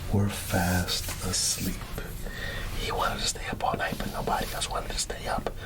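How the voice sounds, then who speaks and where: A man's voice: low-pitched, completely flat delivery, normal speed. A man telling an ASMR bedtime story in a soothing, partly whispered voice, with chatty asides to listeners. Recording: clear background ambient noise.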